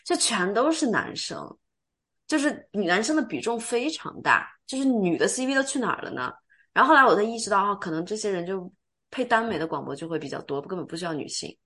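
Lively, girlish-sounding woman podcaster. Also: medium pitch, quick pace. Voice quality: youthful, girlish, sweet voice. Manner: animated, talkative, teasing banter. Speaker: podcast host. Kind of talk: casual chat among friends.

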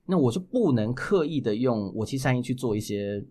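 A man with a Taiwanese Mandarin accent, explaining things as a guest in a casual interview, often halting and correcting himself. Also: medium pitch, lively intonation, warm tone. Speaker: guest voice actor.

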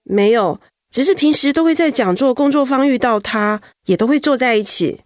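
A woman playing a gentle young wife who keeps a brave face, in a radio drama. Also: sits high, animated, normal speed, no room sound, studio quality. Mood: uneasy.

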